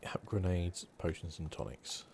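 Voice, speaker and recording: A man softly commentating a video game playthrough in an ASMR style. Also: deep voice, expressive, measured pace, creaky, a little hiss or hum. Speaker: gaming channel host.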